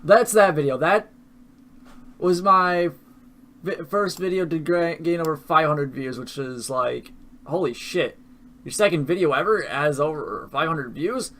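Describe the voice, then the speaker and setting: A man's voice: a little high, a little slow, a bit bright. A young man casually commenting with profanity, mocking his own old videos.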